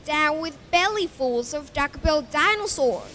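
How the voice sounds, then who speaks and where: A young woman playing a gentle, earnest young male dinosaur in theatrical, drawn-out storytelling style. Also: sits high, expressive, slight background noise. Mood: defiant hooting. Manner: theatrical, exaggerated, drawn-out delivery. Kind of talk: school readers' theatre storytelling.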